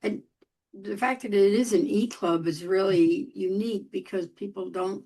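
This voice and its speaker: A woman's voice: medium pitch, steady tone, moderate pace, dark tone. A woman in her eighties hosting an interview, chatty and friendly, laughing often. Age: 80s. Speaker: podcast host. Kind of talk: casual interview talk.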